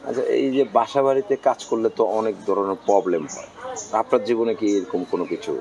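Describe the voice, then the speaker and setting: A man's voice: fairly low pitch, quick pace, a little mellow, rough recording. A man with a Bangladeshi accent interviewing someone casually, probing and repeating answers back as questions. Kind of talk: casual on-camera street-style interview.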